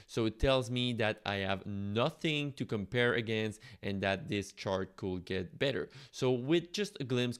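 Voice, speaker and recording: Low-voiced man teaching software. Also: clear, resonant tone. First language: French. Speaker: software tutorial host. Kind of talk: conversational step-by-step screen tutorial.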